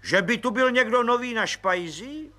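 Man putting on a high-pitched chatty police agent, overfamiliar, eager, slangy, lively sing-song voice, bright tone. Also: exaggerated voice. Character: chatty red-haired little male police agent. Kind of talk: audiobook character voice.

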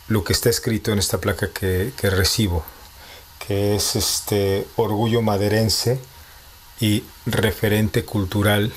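A man in his thirties with a Mexico City accent speaks in a low, level voice that hardly rises or falls. He takes his time, weighing each phrase and thinking aloud as he answers an interviewer. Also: a little hiss or hum. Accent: Mexico City Spanish. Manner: measured, reflective, thinking aloud. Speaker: composer and postdoctoral researcher.

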